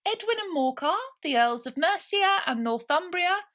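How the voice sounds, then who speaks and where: A woman's voice: very high voice, animated, moderate pace, fairly bright tone, voice pushed up. A woman voicing a self-important, bossy storybook mouse who lectures formally and gets cross easily. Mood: pompous.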